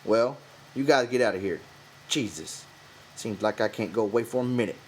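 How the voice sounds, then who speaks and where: A stern, disapproving ranch worker, voiced by a male narrator in an audiobook. He scolds gruffly in rural vernacular with a lively rise and fall, over faint hiss. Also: fairly low pitch, moderate pace, close to an everyday voice. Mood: scolding. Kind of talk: audiobook character voice.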